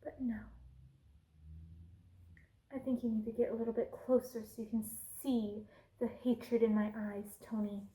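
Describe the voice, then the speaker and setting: A woman's voice: on the high side, fairly even intonation, measured pace, long pauses, warm tone, echoey room, close to an everyday voice, low-quality recording. A woman playing a cruel, haughty evil queen in an ASMR roleplay, imperious and scornful, sometimes slipping into whispers. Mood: scornful, threatening.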